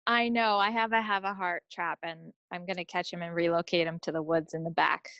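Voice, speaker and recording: Female podcast co-host, lowish and creaky, folksy casual chat, dry close sound. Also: steady tone, fairly quick, fairly bright tone, no room sound, dull audio.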